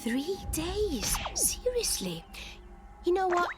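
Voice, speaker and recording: Feisty, high-voiced sarcastic fighter. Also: female voice, huge pitch swings, slow pace, full character voice. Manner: sarcastic, brash. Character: feisty, sarcastic female fighter with furry ears. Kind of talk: animated video game voice acting. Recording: background game music.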